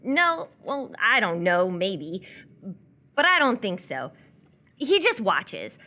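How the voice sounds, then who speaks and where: A coy, lonely young captive, acted by a woman in a radio drama. Her bright, expressive voice swings in pitch as she teases and sidesteps with small hesitations. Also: heavily acted. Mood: evasive, defensive. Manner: playful, evasive, hesitant pauses. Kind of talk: live radio theatre dialogue.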